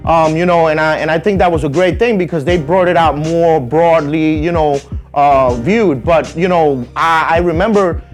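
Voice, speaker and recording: A man's voice: a little high, moderate pace, soft background music. A man in his forties from the Dominican Republic, a guest chatting easygoingly in an interview, with frequent "you know what I mean.". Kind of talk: casual interview chat.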